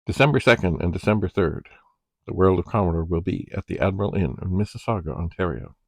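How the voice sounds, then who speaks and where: A man's voice: sits low, lively intonation, fast, creaky, husky, dry recording. A man chatting casually as a video host, rambling and thinking aloud as he shows an item. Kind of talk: casual unboxing chat.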